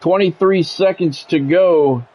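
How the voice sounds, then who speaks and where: A man's voice: fairly high pitch, measured pace, dark tone. A man doing play-by-play of his own football video game in short, blunt phrases, mixed with casual gamer talk.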